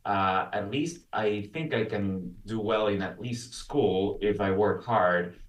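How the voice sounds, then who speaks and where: A man from Venezuela, telling his own story casually and haltingly as an interview guest. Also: lowish voice. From Caracas, Venezuela. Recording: roomy echo, distant from mic.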